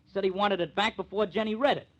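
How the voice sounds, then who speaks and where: A man's voice: sits high, fairly even intonation, fast, low-quality recording. An actor playing an indignant, streetwise young American man with slangy, blurting speech in an old-time radio crime drama. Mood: earnest, pleading.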